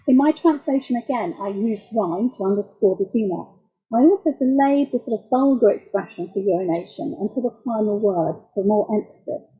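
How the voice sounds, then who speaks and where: A middle-aged woman lecturing as a translator, explaining jokes in a wry, measured way. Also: mid-range voice, narrow, tinny audio. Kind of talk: academic talk.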